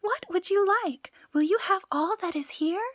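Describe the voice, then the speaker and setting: A woman voicing a tiny, cheeky boy from a storybook, loud and bold, with a husky edge to the voice. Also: very high voice, sing-song, moderate pace, no room sound, studio quality, pitched above the performer's own voice. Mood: cheeky shouting.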